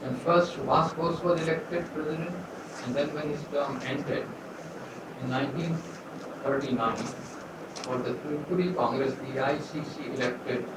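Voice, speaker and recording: Older man lecturing in an echoey hall. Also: on the high side. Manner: measured, unhurried, with frequent pauses and uh fillers. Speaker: history lecturer. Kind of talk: university lecture. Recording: echoey hall, far from mic, loud background noise.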